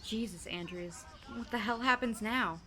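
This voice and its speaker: A woman's voice: on the high side, normal speed, bright, forceful tone. A woman playing a sarcastic, protective teenage best friend in an audio drama, with blunt, slangy teasing. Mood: incredulous, teasing.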